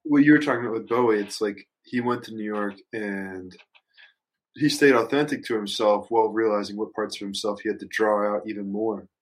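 Man, low pitch, casual conversation, halting, thinks out loud. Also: steady tone, rough recording. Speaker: podcast panelist. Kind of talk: casual chat.

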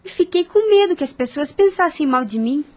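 A woman's voice: sits high, lively intonation, moderate pace, a little mellow. An actress playing a shy, proper, cheerful young woman in a radio soap opera. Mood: coy, polite.

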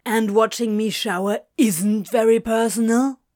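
A swaggering male demon from an audio story, acted out by a woman. The voice sits lowish and moves very slowly, drawling with sardonic force as he accuses and teases. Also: steady tone, darkish tone. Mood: scathing.

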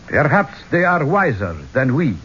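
An actor playing a proud, solemn Egyptian man in a 1970s radio drama, speaking formally and ominously in a measured way. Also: on the high side, very animated, fairly bright tone, slight background noise. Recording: muffled old radio broadcast recording.

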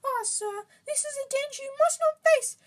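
A woman voicing a small, anxious male house-elf from a storybook, squeaky and pleading, servile yet stubborn, speaking of himself in the third person. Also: normal speed. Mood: insistent, pleading. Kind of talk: storybook character voice.

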